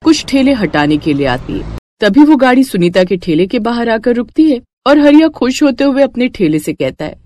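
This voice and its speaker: A woman's voice: fairly high pitch, big pitch swings, normal speed, a little mellow, no room sound, studio quality. A woman reading scripted story narration as a storyteller.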